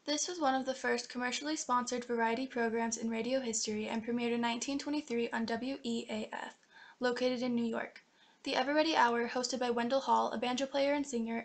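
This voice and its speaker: A young woman narrates a scripted documentary, reading from the page. She goes quickly in a slightly high voice with heavy fry at the edges. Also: steady tone. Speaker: narrator of student history project.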